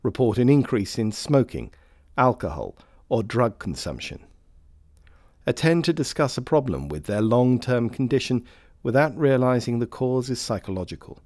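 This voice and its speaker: A man reading scripted instructional narration for a health training film in a formal, measured way. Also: medium pitch, sing-song, a little mellow, no room sound, studio quality.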